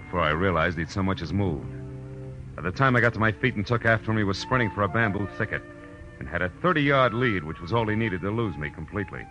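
An actor playing a tough, wry private detective in 1940s radio drama style, terse and dramatic. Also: male voice, sits low, lively intonation, fast talker, sharp tone. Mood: tense narration. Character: tough, wry male private detective narrating his case. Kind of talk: 1940s radio drama acting, hard-boiled narration. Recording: muffled old radio recording with music.

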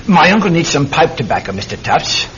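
Animated, chummy young nephew. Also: male voice, fairly high pitch, brisk, a little hiss or hum. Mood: probing, sympathetic. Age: young adult. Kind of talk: old-time radio drama acting. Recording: dull vintage radio with crowd sound.

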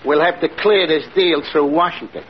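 An actor playing a slick, self-important wheeler-dealer in old-time radio comedy, smooth-talking and conspiratorial. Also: male voice, a little high, moderate pace, lightly acted. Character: slick, bluffing male wheeler-dealer and swindler. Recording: muffled noisy vintage radio recording.

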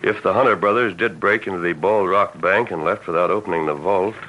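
A man's voice: low voice, brisk. A man playing a resolute masked western hero in an old radio drama. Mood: calm, resolute. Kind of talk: 1950s radio western acting. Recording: dull, noisy old radio recording.

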